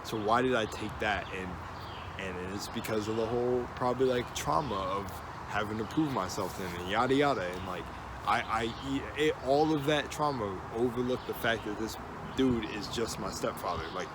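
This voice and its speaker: Rambling man talking outdoors over loud noise. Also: fairly low pitch, normal speed. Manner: rambling, swears, many restarts and fillers. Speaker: vlogger. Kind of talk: casual storytime monologue.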